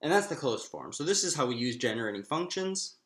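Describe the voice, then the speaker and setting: Male maths lecturer, mid-pitched, informal and halting, thinking aloud step by step, roomy echo. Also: big pitch swings, a bit bright. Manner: thinking aloud, halting, often restating himself. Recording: echoey room.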